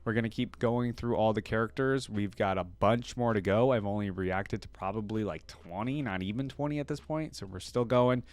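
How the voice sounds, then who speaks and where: Clear-voiced man, casual reaction host. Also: lowish voice, moderate pace, few pauses, a little mellow, no echo. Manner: informal, rambling fan talk.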